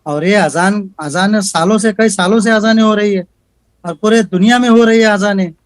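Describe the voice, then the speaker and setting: A man's voice: high-pitched, moderate pace, no room sound. A man, a lawyer on a TV debate panel, making blunt, challenging arguments and repeating his points. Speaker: advocate and political panelist.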